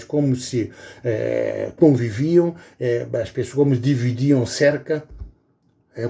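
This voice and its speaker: A man's voice: mid-range voice, creaky, warm tone. A middle-aged man from Guinea-Bissau with a Guinea-Bissau Portuguese accent, giving an earnest, informal monologue to viewers and pleading with frequent hesitations. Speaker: video blogger.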